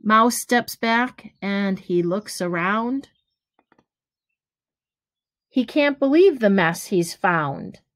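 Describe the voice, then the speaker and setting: Slow-paced woman reading a storybook. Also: mid-range voice, expressive, no room sound. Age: middle-aged. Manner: slow, deliberate reading with pauses between phrases. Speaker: teacher reading a picture book aloud. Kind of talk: children's storybook read-aloud. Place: small room at home, indoors. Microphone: webcam or device at arm's length.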